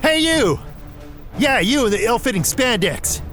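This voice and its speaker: Guy acting high-pitched put-upon rookie henchman, awkward, sarcastic, very animated, audio drama. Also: unhurried, soft background music, exaggerated voice. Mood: defiant. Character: reluctant, exasperated young everyman rookie henchman.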